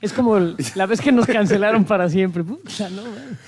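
A man's voice: sits high, normal speed, darkish tone, dry recording. A man from Mexico City, co-hosting and joking in a slangy, casual way. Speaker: co-host. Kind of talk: casual banter.

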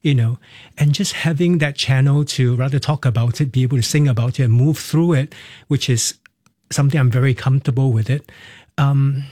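A middle-aged man, a Cantonese speaker, reflecting on personal experience in a halting, candid way as an interview guest. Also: mid-range voice, warm tone, dry recording, clean studio sound. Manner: halting, candid, tags phrases with "right" and "you know". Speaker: interview guest, performer and counselor.

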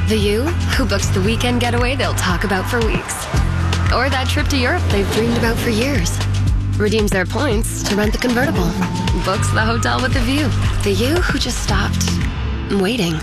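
Woman reading a travel ad over loud music. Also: fairly high pitch. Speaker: ad announcer. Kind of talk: soft aspirational travel ad read.

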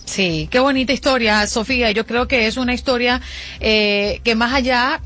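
A woman hosting a radio interview, encouraging and smooth in her questions. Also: fairly high pitch, normal speed, fairly bright tone, soft background music. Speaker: radio host.

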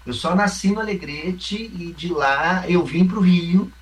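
A man's voice: high voice, unhurried, distant mic, rough recording. A man from the south of Brazil with a Carioca accent, a mime and theatre company member, answering interview questions in a reflective way, explaining with examples and often asking "né?". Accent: Carioca Brazilian Portuguese. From Rio Grande do Sul, Brazil.

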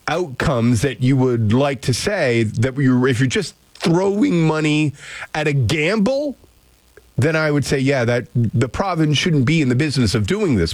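A man hosting a call-in talk radio show, opinionated and ranting, with frequent filler pauses. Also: a little high, no room sound. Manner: opinionated, animated, ranting asides. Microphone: studio microphone.

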